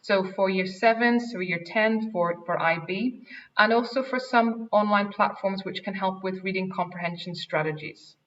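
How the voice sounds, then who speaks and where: A woman's voice: medium pitch, moderate pace, fairly bright tone. A woman with an Irish accent, head of an English faculty, giving an earnest school webinar talk with frequent ums and restarts. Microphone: video call. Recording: distant, dull online call audio.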